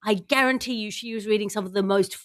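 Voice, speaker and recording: A woman with a middle-pitched voice hosts a comic panel conversation. She is articulate and wry, running through long wordy sentences. The sound is very dry, with no room on it. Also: quick pace, no echo. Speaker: podcast host.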